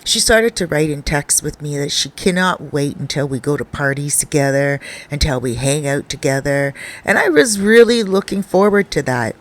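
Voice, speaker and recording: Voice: medium pitch, expressive, moderate pace, hardly any pauses, natural voice. A narrator reading as a shy, lonely young man. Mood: confessional. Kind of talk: reading a first-person confession story.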